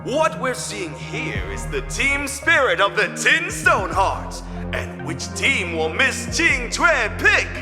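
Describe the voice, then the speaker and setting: A showy flustered game announcer, voice swooping all over, big reverb. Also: male voice, extremely high pitch, sing-song, slow, over music, exaggerated voice. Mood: showy hosting. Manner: theatrical, easily flustered hosting. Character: excitable male livestream show announcer, flustered and showy. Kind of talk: video game voice acting as show host.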